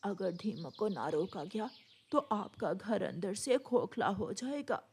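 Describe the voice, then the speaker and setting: A woman voicing a kindly old mother dove who gives advice in a Hindi cartoon, with a husky voice. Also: mid-range voice, lively intonation, slow, darkish tone, a little hiss or hum. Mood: concerned, advising. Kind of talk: Hindi storytelling cartoon dialogue.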